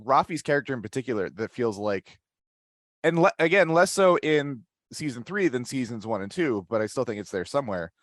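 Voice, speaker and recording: A man talking casually as a podcast panelist, working through his thoughts aloud in a halting way. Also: mid-range voice, lively intonation, dry recording, studio quality.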